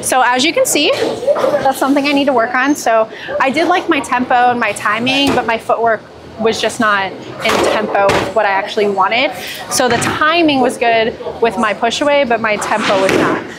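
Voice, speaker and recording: A woman's voice: fairly high pitch, moderate pace. A young woman and pro bowler explaining her practice to camera, easygoing and step by step, on a lapel mic in a large indoor bowling alley. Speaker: professional bowler coaching. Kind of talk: casual instructional talk to camera. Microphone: clip-on lapel mic. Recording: loud bowling alley noise behind. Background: bowling alley noise, clicks.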